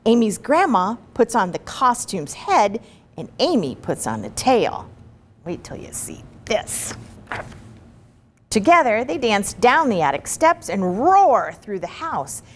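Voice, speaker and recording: A woman giving an expressive, chatty and encouraging read-aloud of a children's picture book, with asides to the listeners. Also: medium pitch, slow, a little hiss or hum. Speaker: story reader.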